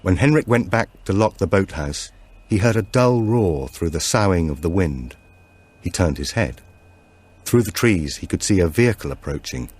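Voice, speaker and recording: Low-pitched man narrating a thriller. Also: lively intonation, moderate pace, a little mellow, slightly rough. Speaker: audiobook narrator. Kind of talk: scripted narration of a suspense thriller.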